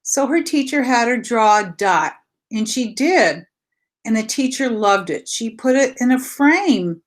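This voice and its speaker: A woman's voice: a little high, animated, measured pace. A woman teacher leading a read-aloud lesson for young children, explaining simply with repetition and posing questions she answers herself.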